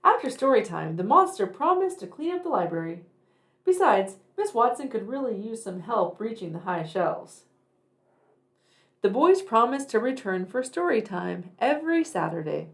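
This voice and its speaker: A woman reading a picture book aloud to young children, deliberate and expressive in her narration. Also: on the high side. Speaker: children's read-aloud storyteller.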